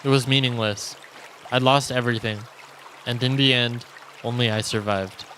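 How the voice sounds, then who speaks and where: A male streamer reading visual novel narration aloud in a solemn, deliberate storytelling manner. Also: medium pitch, steady tone, pushed and bright, slight background noise. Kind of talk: dramatic reading of game narration. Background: game music.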